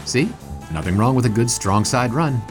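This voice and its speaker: A man's voice: medium pitch, expressive, moderate pace, soft background music, natural voice. A man playing a skeptical, puzzled 1920s sportswriter in an audio drama, blunt and incredulous. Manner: blunt, incredulous, old-fashioned exclamations.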